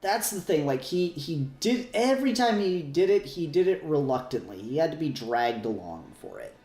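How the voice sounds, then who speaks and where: A man co-hosting a podcast in casual, opinionated discussion, often halting to repeat and rephrase himself. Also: a little high, big pitch swings, mellow. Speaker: podcast co-host. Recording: roomy echo, faint music.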